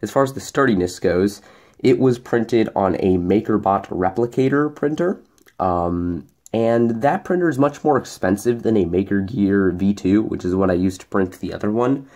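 A man's voice: lowish voice, normal speed, darkish tone. Young man explaining his hobby project. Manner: explanatory, comparing objects in front of him. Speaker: hobbyist YouTuber. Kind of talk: casual show-and-tell narration.

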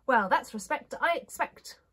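A woman's voice: high-pitched, expressive, a bit bright, breathy edge, full character voice. Storybook clown made of china woman performing: small jolly fellow, impudent, mocking, delivers rhymes with relish. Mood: saucy, teasing. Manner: saucy, drawn-out rhyming delivery. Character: saucy, jolly little china clown, male, cracked. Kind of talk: storybook character voice.

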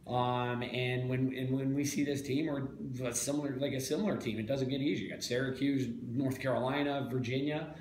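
Middle-aged male coach, halting, repeats words, roomy press-room sound, faint hiss. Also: medium pitch, fairly even intonation, a little mellow. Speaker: head coach. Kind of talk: postgame press interview. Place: small press room, indoors.

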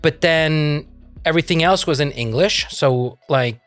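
A middle-aged man from France, native in French, talks bluntly and swears freely in a relaxed podcast chat. He sits at a desk mic in a small home studio, with soft music faintly under the talk. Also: fairly high pitch, unhurried. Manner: blunt, swears casually, laughs easily. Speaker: podcast co-host. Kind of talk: casual chat.

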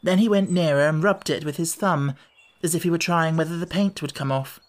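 A man's voice: fairly high pitch, a little fast. A man narrating a children's storybook audiobook from a script.

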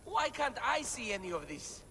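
A man's voice: extremely high pitch, big pitch swings, normal speed, sharp tone, full character voice. A man playing an anxious, self-doubting scholar in a video game cutscene, speaking in a fretful, plaintive and emphatic way. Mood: distraught. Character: anxious, self-doubting male scholar translating ancient relics. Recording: long cavernous reverb, clear background noise.

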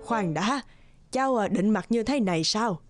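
A gentle, elderly fairy played by a woman from a cartoon fairy tale. She speaks in measured, encouraging phrases with a very varied melody. Music plays clearly behind her. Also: mid-range voice, expressive, close to an everyday voice. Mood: kindly, encouraging. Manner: reassuring, measured.

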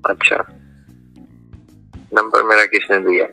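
A man talks curtly and sceptically through a phone speaker. His voice is fairly low but comes across extremely sharp, with faint music behind it. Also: flat delivery, takes it slowly, vocal fry. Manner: sceptical, questioning, curt. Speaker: prank call recipient. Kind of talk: casual phone conversation.

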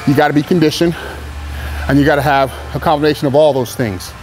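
A man's voice: on the high side, steady tone, fast talker, darkish tone, poor audio. Male pro bodybuilder, 30s, candid rambling gym chat, camera mic, music behind. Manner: laid-back, candid, rambling with fillers. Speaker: professional bodybuilder. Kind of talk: casual talk to camera between sets. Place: large indoor gym.

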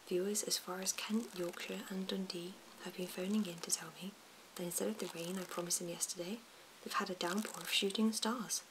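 A woman's voice: mid-range voice, fairly even intonation, fairly quick, hardly any pauses, close to an everyday voice. A woman voicing a chatty, cheerful weather presenter talking to viewers, over a noisy recording with clicks.

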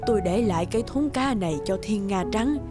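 A woman voicing a caring, gentle old fisherman's wife in a cartoon fairy tale. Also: medium pitch, expressive, brisk, close to an everyday voice. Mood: gentle concern. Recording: faint music under the voice.

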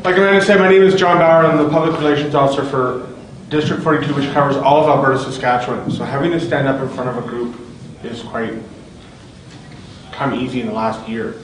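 A man from Calgary introducing himself at a panel, loud and carrying as he stands to talk. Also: medium pitch, fairly even intonation, a little fast, crowd noise. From Calgary, Canada. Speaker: panelist, public relations officer. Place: large convention hall. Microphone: handheld mic. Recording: echoey hall, distant camera mic.

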